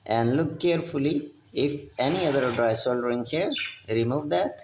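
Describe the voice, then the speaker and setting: A man giving an unscripted hands-on repair demonstration as an instructor, speaking in halting, fragmented phrases. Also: mid-range voice, echoey room, noisy background.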